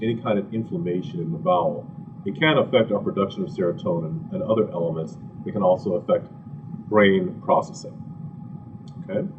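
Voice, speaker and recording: A man from Ghana giving an animated, conversational health lecture to a live audience, full of asides and rhetorical questions. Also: sits low. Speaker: physician giving a health talk. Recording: distant, dull, slightly noisy room recording.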